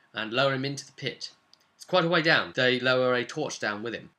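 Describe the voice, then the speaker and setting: A man's voice: medium pitch, sharp tone. A man telling a casual recap as a game master, stressing key words and trailing off into conversational asides. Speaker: game master recapping his tabletop role-playing campaign. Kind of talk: casual recap storytelling to camera.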